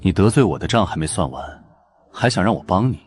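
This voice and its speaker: A man's voice: low-pitched, lively intonation, quick pace. A man playing a haughty, taunting businessman in scripted romance drama, curt and challenging.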